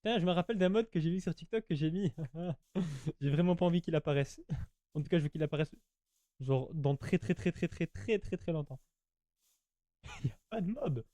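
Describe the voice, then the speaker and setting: A man's voice: fairly high pitch, lively intonation, normal speed, dark tone, dry recording. A man giving casual, slangy gameplay commentary, chatting to his viewers and often repeating himself. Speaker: gaming YouTuber.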